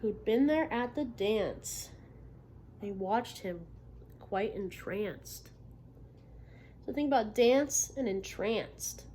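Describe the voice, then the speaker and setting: A woman reading a picture book aloud as a teacher, expressively, pausing to ask questions and confirm answers. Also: medium pitch, expressive, mellow, poor audio. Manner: instructive, checking in with listeners by questions and affirmations. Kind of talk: interactive children's read-aloud, expressive storybook narration with questions. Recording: noticeable background noise.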